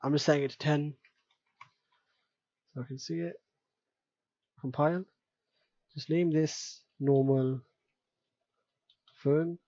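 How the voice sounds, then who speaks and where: A man's voice: on the high side, steady tone, slow pace, long pauses, mellow, no room sound. Dull-sounding man casually narrating a tutorial. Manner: casual, thinking aloud step by step. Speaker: tutorial presenter. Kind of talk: unscripted screen-recorded software tutorial narration.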